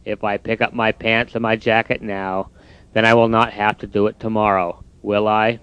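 A man voicing a lazy, grumbling male toad in a storybook reading, speaking low, slow and sulky. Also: level tone, smooth, no room sound, lo-fi sound, close to an everyday voice. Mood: pleading.

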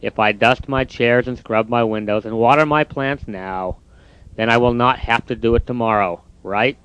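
A man voicing a lazy, grumbling male toad in a storybook reading, speaking low, slow and sulky. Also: a bit bright, clear, resonant tone, no room sound, dull audio, lightly acted. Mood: pleading.

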